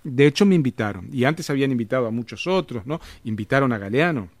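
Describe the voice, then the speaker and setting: Interview guest: Argentine man, mid-pitched, chatty storyteller who circles back and restarts, recorded dry. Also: expressive, fast, dry recording. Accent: Argentine Spanish. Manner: rambling, with frequent restarts and tag questions. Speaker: interviewed author. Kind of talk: casual conversational storytelling.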